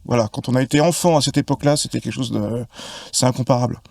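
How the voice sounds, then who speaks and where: Gravelly man explaining at length. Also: medium pitch, quick pace, a little mellow, dry recording. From Paris, France. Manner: long reflective explanations with many hesitations. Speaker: podcast guest, film expert. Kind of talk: casual conversation.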